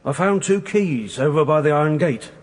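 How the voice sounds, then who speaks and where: An actor playing a deferential, slightly hesitant British police constable giving factual evidence in a radio courtroom drama. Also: male voice, fairly high pitch, expressive, moderate pace. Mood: dutiful, nervous.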